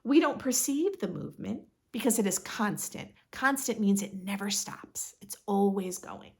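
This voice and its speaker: A woman reading a picture book aloud to young children in an expressive, instructive way, as a read-aloud host. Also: mid-range voice, normal speed. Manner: expressive, instructive, asks listeners questions.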